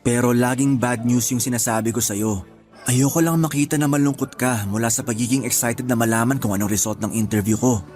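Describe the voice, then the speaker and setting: A man acting as a discouraged, bashful young boyfriend in a radio drama, with a hesitant, soft-hearted manner. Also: medium pitch, fairly even intonation, a little fast, faint music behind, close to an everyday voice. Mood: ashamed, grateful. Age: young adult. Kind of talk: Tagalog radio drama dialogue.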